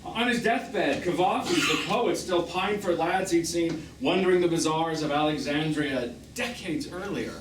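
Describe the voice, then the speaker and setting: A man's voice: on the high side, measured pace, hardly any pauses, natural voice. An actor on stage playing a bitter, wounded man in his fifties, sarcastic and theatrical, as a jilted lover in a live drama. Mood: impassioned, aching. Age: 50s. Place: small theatre, recorded from the audience. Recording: echoey, noisy stage recording from far off.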